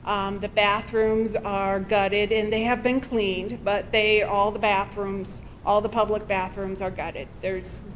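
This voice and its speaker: A woman with a soft voice presenting to a city committee, speaking haltingly with frequent pauses. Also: mid-range voice, flat delivery, slight background noise. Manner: slow, halting with frequent ums. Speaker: library director presenting. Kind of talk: public meeting presentation. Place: city council chamber.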